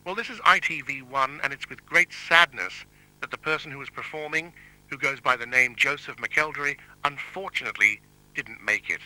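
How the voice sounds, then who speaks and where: A man playing a solemn television continuity announcer, reading a scripted emergency statement in a formal, measured way. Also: mid-range voice, pushed and bright, no echo, poor audio.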